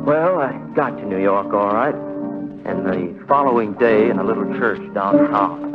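An actor playing a haunted, heartbroken young man, telling his story with halting, conversational pauses in 1940s radio drama style. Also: lowish voice, natural voice. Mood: wistful, rueful. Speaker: narrator character. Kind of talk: 1940s radio drama first-person narration. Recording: muffled vintage radio broadcast with music.

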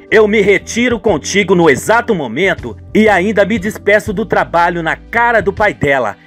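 A man playing a smitten, reassuring young boyfriend in a radio soap, earnest and romantic. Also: sits high.

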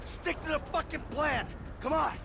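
A man's voice: extremely high pitch, moderate pace, creaky, bright, forceful tone, a little hiss or hum, heavily acted. An actor playing a cool-headed, commanding male heist leader with a dry manner in a video game cutscene. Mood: urgent.

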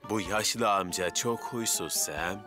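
A man voicing a well-meaning, gentle firefighter sidekick in a Turkish cartoon dub, soothing and simple-hearted. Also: lowish voice, takes it slowly, over music.

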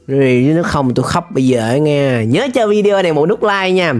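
A young man with a Southern Vietnamese accent hosting a reaction video with excited, exclamatory commentary. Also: on the high side, brisk. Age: young adult. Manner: exclamatory, animated. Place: indoor room, gaming setup. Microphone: close desk microphone. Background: music and cartoon soundtrack.

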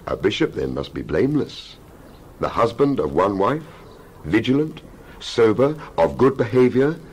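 A man giving a dramatized scripture reading as a Bible narrator, solemn and emphatic, with measured oratorical phrasing. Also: medium pitch, slightly rough, low-quality recording. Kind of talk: dramatized scripture reading, King James English.

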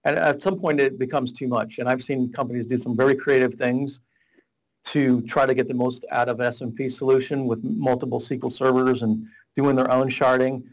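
A man's voice: mid-range voice, fairly even intonation, fairly quick, low-quality recording. Middle-aged man explaining on a video call. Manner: rambling, restarts, gestures a lot while explaining. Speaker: guest, data warehouse architect. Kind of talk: casual explanatory interview. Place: small office room with whiteboard. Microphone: video call webcam.

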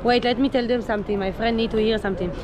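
High-voiced Israeli woman vlogging. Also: fairly even intonation, rapid-fire. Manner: playful, non-native English. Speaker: travel vlogger. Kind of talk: casual chat to camera and passers-by. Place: outdoor city street market. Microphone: handheld action camera at arm's length. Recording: busy street market crowd noise.

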